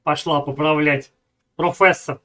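A man with a high, light voice gives sarcastic running commentary over a clip. He laughs between jabs and talks in short, offhand bursts. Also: fairly even intonation, measured pace, bright, forceful tone. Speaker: streamer reacting to a video. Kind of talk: mocking live commentary.

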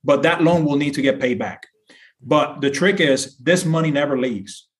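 A man's voice: on the high side, moderate pace. A middle-aged man from Puerto Rico talking as an interview guest, explaining in an earnest, conversational way and repeating phrases for emphasis. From Puerto Rico, later south of Boston. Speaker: podcast guest, insurance broker.

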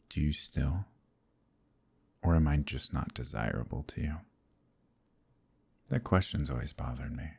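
A man voicing a proud, scheming demon king in an audio roleplay, talking in a slow, silky, menacing way. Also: warm tone, exaggerated voice. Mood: insecure. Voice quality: deep gravelly voice. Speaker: voice actor. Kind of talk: ASMR roleplay.

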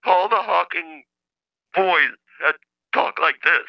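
Over a dull lo-fi phone line comes an indignant caller, pitched on the high side. He talks in a halting, robotic, flatly synthetic way and insists on every point, in the style of a comedy call-in show. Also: creaky, exaggerated voice. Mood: offended. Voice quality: flat synthesizer-like delivery. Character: indignant male caller claiming to be a famous scientist's brother, robotic-talking. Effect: telephone call.

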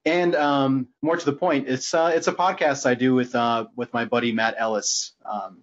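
A man chatting casually as a podcast guest, in a rambling, halting way. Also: medium pitch, steady tone. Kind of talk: casual chat. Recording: dull lo-fi sound with background noise.

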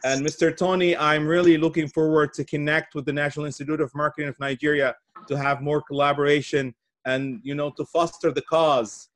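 Halting male presenter from Brazil. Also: fairly high pitch, flat delivery. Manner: halting, with frequent pauses and repeated words. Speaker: guest speaker giving opening remarks. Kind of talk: presentation at an online summit. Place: indoor office, on a video call. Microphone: video call.

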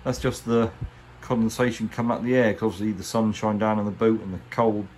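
An English man with a fairly low voice talks casually through a hands-on job. He is colloquial and plain-spoken, like someone showing his own work. The recording has clear background noise behind him. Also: level tone, fast, darkish tone. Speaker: boat restorer presenting a how-to. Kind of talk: casual hands-on tutorial talk.

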